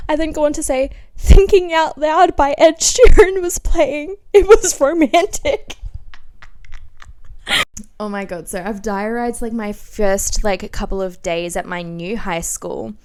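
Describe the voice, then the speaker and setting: A woman in her twenties with an Australian accent hosts a podcast, reading her diary dramatically with animated, self-mocking asides. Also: on the high side, a little slow, clear, resonant tone. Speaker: podcast host.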